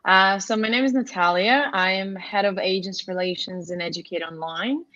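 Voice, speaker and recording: A woman's voice: medium pitch, fairly even intonation, moderate pace, bright, forceful tone, no echo. Woman on a dull, dry call. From Russia. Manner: conversational, uses "you know" often. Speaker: head of agency relations. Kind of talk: webinar presentation.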